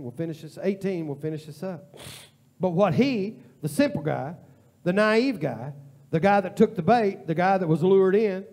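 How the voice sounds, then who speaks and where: Gravelly, high-pitched preaching man. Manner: impassioned preaching that rises to near-shouting, then drops to a quiet prayer; informal, repetitive cadence. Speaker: pastor. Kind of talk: sermon. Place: church sanctuary.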